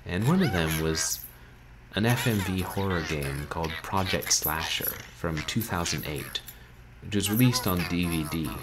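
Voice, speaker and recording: A man in his thirties chatting casually to livestream viewers as host, wry and self-deprecating, with wordy phrasing. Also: sits low. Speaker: livestream host, video game streamer. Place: small bedroom, indoors. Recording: noisy home webcam stream.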